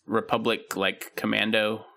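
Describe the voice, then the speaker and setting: A young man with a low, gravelly voice and a bright, edgy tone talks through a topic in a loose, casual way. He leans on plenty of fillers as he explains. It sounds like relaxed podcast chat between friends. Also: unhurried. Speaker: podcast co-host.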